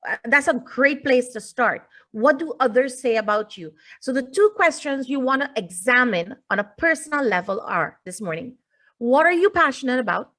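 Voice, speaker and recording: Female teacher-presenter, native English speaker, slightly high voice, informal explanatory lecture over a video call. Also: lively intonation, normal speed, a bit bright. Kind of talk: informal online lecture, addressing audience. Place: indoors, online video call.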